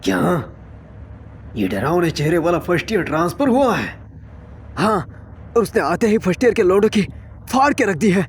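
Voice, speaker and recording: A man voicing panicky, excitable school characters in a Hindi anime fan dub, with a dramatic, stammering delivery. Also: high voice, moderate pace, pauses often, slight background noise. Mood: shocked, gossiping.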